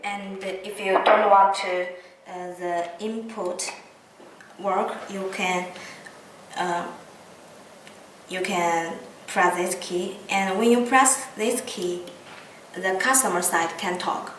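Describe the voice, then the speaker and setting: A woman who is not a native English speaker gives an unscripted product demonstration, hesitant and pausing often mid-phrase. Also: mid-range voice, fairly even intonation. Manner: halting, hesitant speech with frequent pauses mid-phrase. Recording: roomy echo, distant mic, background noise.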